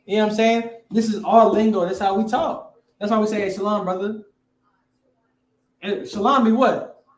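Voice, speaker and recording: A man's voice: sits high, steady tone, normal speed, reverberant. A man teaching a class, quizzing his students and repeating key words.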